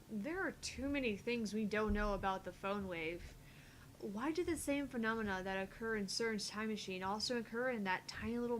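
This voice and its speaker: A woman's voice: on the high side, a bit bright, slight background noise, rough recording. Woman acting a cool, precise young scientist. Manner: precise, matter-of-fact explaining. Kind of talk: visual novel voice acting.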